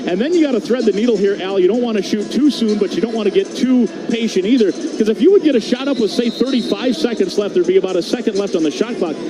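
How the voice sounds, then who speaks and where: High-voiced man calling basketball play-by-play. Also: quick pace. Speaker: play-by-play sports commentator. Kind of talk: live radio basketball play-by-play commentary. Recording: clear background noise.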